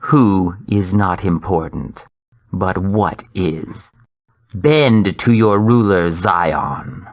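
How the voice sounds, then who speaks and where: An actor playing an arrogant, cold villain warrior in a video game, haughty and formal. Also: male voice, sits low, extremely slow. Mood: menacing, scornful. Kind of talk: dramatic game voice acting.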